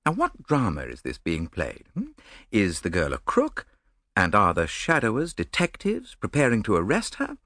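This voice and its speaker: A man voicing an earnest, romantic gentleman, eager and exclaiming. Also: fairly low pitch, huge pitch swings, moderate pace, no echo, studio quality, voice pushed up, heavily acted.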